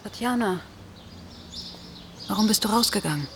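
Radio drama role: cool female investigator played by an actress, mid-pitched, probing, birds and noise behind. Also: animated, normal speed, lightly acted. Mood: gentle probing. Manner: inquisitive, terse. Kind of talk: naturalistic German radio crime drama.

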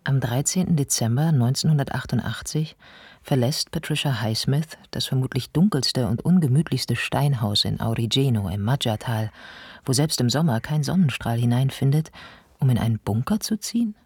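A man giving a scripted radio play narration in a measured, deliberate, descriptive manner. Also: mid-range voice, expressive, a little mellow, husky, dry recording.

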